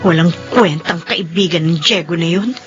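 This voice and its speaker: An actress playing a concerned, loyal wife, quick to take her husband's side, in an emotive radio drama. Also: on the low side, natural voice. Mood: indignant. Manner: emotive, expressive. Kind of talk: Filipino radio drama acting. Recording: muffled audio over faint music.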